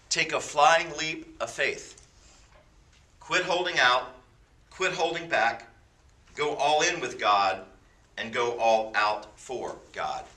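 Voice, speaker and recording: A man's voice: mid-range voice, bright, forceful tone, recorded from a distance. A man in his fifties leading a class with an informal sermon, mixing stories with jokey, self-deprecating asides. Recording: roomy sound from away from the mic.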